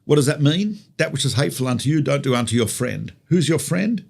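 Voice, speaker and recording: A man's voice: mid-range voice, sing-song, a little fast, creaky, a little mellow, slightly rough. A man with an Australian accent, a rabbi teaching conversationally through stories and rhetorical questions. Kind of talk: conversational religious teaching with storytelling.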